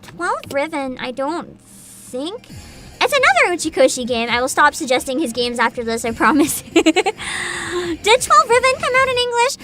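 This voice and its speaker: High, lively, giggly streamer woman. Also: normal speed. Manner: giggly, chatty, reacts to chat, reads descriptions aloud. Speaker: VTuber streamer. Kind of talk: casual livestream chat.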